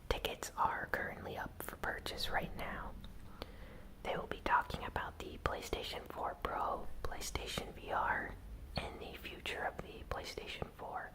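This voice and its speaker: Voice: measured pace, low-quality recording. Whispered ASMR gaming news host.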